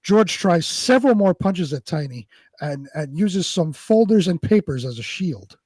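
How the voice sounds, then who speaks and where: A man's voice: high-pitched, expressive, measured pace, darkish tone, slightly rough, no room sound. A man co-hosting a casual live show, chatting and reading out narration. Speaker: show co-host and drama narrator.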